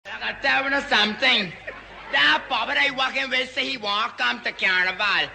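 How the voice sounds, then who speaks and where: A man's voice: very high-pitched, moderate pace, vocal fry, sharp tone. A man doing a live comedy stage act in dialect, teasing and mock-deferential.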